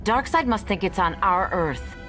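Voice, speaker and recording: A woman's voice: mid-range voice, expressive, normal speed, over music, natural voice. A woman playing a noble, composed warrior superhero in a cartoon video game, firm and earnest.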